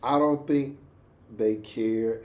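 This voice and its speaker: A man's voice: medium pitch, steady tone, slow pace, darkish tone. A man hosting a reaction video in casual, colloquial chat, full of exasperated rhetorical questions.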